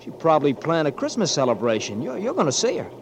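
A man's voice: fairly high pitch, wildly swooping pitch, quick pace, creaky, slight background noise, exaggerated voice. An actor playing a loyal, blunt marine buddy who confronts his friend, in 1940s radio acting. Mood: confronting.

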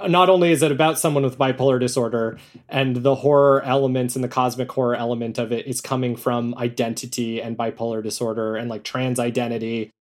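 Male creative-type guest, mid-range voice, casual and animated, conversational anecdote telling. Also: mid-range voice. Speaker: podcast editor and audio drama creator, interview guest.

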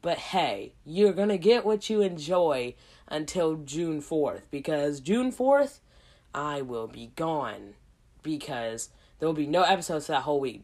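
A woman hosting a casual solo podcast, hoarse from a cold, rambling in a slangy way with lots of asides. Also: low-pitched, darkish tone. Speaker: podcast host.

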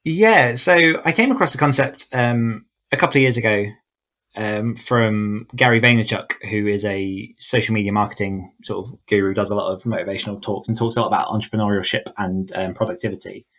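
A man's voice: lowish voice, fairly quick, a bit bright. A man chatting casually as a podcast guest, explaining his thoughts in a reflective way. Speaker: podcast guest, author coach. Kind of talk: casual interview chat.

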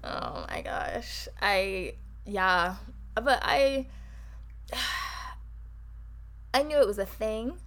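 A woman with a Barbadian accent co-hosting a podcast, talking fast and emphatically in casual chat. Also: medium pitch, animated, a bit bright. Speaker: podcast co-host.

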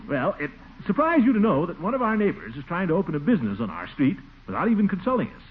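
Blustering middle-aged busybody, 1940s radio comedy; very animated pitch, thin noisy old recording. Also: male voice, quick pace, narrow, tinny audio. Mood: ingratiating. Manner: blustering, indignant, prone to nervous chuckles. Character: pompous, fussy middle-aged man, a blustering busybody neighbor.

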